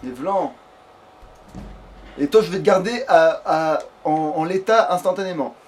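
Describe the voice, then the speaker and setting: High-voiced man muttering through gameplay. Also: animated, unhurried, slight background noise. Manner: hesitant, muttering to self, swears casually. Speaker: let's play gamer. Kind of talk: casual gameplay commentary.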